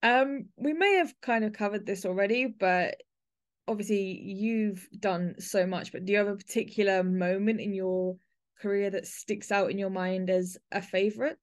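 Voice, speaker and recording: A woman's voice: mid-range voice, normal speed. A woman speaking as the host of a podcast, introducing and interviewing her guest.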